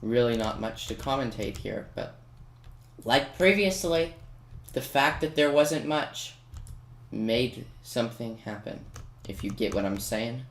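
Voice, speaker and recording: A man talks casually through a video game at a medium pitch, poking fun at himself and then playfully bragging. The recording is roomy and noisy, with keyboard clicks behind his voice. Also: lively intonation, a little slow, gravelly. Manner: self-mocking, playfully boastful. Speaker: gaming video maker. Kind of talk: casual gameplay commentary.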